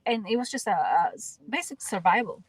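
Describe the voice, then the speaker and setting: A woman's voice: medium pitch, animated, fairly quick, breathy edge, no room sound. Earnest woman with a Dominican Spanish accent. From Dominican Republic. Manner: earnest, reflective, repeats words while searching for phrasing. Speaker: guest actress and spoken word artist. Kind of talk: radio interview.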